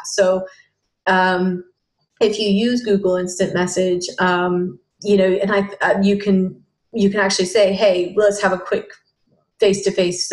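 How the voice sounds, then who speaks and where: A woman walks through a software tutorial while sharing her screen, her voice sitting at an ordinary middle pitch. She talks in a halting way, stopping and restarting with plenty of fillers. The recording has a roomy echo to it. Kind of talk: casual screen-share tutorial.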